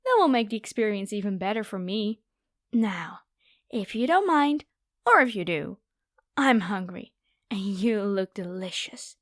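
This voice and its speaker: A woman's voice: medium pitch, expressive, a little slow, dry recording, clean studio sound, close to an everyday voice. A woman playing a haughty, predatory noble vampire girl in an ASMR roleplay, with a taunting, playful, theatrical delivery. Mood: mocking, domineering.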